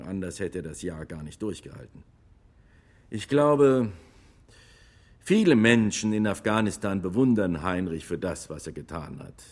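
An actor playing a retired, war-weary army officer who testifies gravely and emphatically in a courtroom radio drama. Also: male voice, on the low side. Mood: grave, measured.

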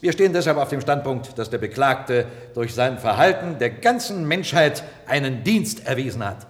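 An actor playing an eloquent, combative defence lawyer in a radio drama, arguing formally with emphatic rhetoric. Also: male voice, mid-range voice, very animated, moderate pace, natural voice. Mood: insistent, argumentative. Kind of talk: radio drama courtroom oratory. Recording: reverberant courtroom-like hall.

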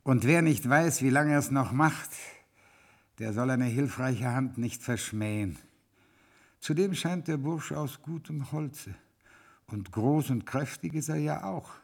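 A man playing a shrewd, commanding old troll king in a classic radio play, speaking in a measured, lordly way. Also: mid-range voice, expressive. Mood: scheming.

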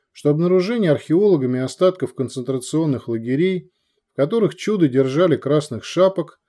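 A man's voice: mid-range voice, dark tone, natural voice. A man voicing a male television news presenter with a formal broadcast delivery.

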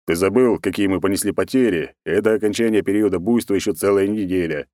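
A man voicing a measured, weary veteran commander in a fantasy audiobook. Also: low voice, mellow, dry recording, studio quality, natural voice. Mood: reproving.

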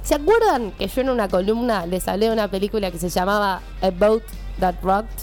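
A woman's voice: medium pitch, expressive, a little fast, over music. A woman with a Rioplatense accent, chatting and retelling a film plot as columnist on a radio show. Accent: Rioplatense Spanish. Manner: chatty, laughing, storytelling. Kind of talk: casual radio banter.

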